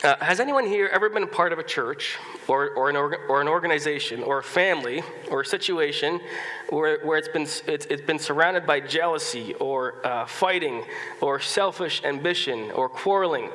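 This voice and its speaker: A man preaching in a church, his voice stuffed up from a cold and a little high for a man, with a bright, forceful edge. He talks in a loose, conversational way, restarting phrases and clearing his throat. The sanctuary gives a long, ringing reverb. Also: normal speed. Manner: conversational, many restarts and fillers, occasional coughs and throat-clearing. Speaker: pastor. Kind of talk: sermon.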